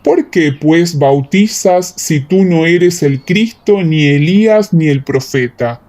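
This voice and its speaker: Casting: male actor for a priestly inquisitor in Bible audio drama, deliberate, mellow-dark, pressing for answers. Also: fairly high pitch, lively intonation. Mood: stern questioning. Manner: slow, probing, insistent questioning. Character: insistent male priestly envoy questioning a prophet. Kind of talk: Bible radio play acting.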